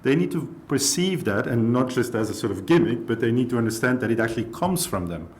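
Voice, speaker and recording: A man's voice: mid-range voice, very animated, fast. A man from Belgium speaking on a panel discussion, casual and filling his speech with "like". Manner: casual, lots of "like" fillers.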